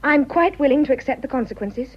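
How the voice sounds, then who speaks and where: An actress playing an earnest, defiant young wife in love, speaking simply and resolutely in a radio drama. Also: female voice, high voice, lively intonation, fast, muffled recording. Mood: candid, unhappy. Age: young adult.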